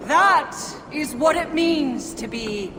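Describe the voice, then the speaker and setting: An actress playing a cruel, gloating villainess in a video game, with a theatrical, taunting and savoring delivery. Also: female voice, sits high, expressive, slow. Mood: scornful accusation. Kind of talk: dramatic game cutscene acting. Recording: long hall reverb, noisy ambience behind.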